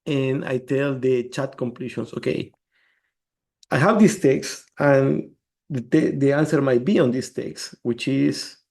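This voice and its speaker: Brief: male developer, gravelly and warm, explains code step by step. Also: mid-range voice. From El Salvador. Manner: explains step by step, restarts words. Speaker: developer presenting a demo. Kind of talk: screen-share tech demo.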